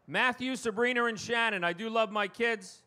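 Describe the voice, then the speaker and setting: Male stand-up, middle-aged, New York accent, very high, forceful delivery, self-deprecating. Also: moderate pace, clear, resonant tone. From Long Island, New York. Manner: self-deprecating, punchy one-liners. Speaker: stand-up comedian. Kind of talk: stand-up comedy routine. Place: live comedy show before an audience.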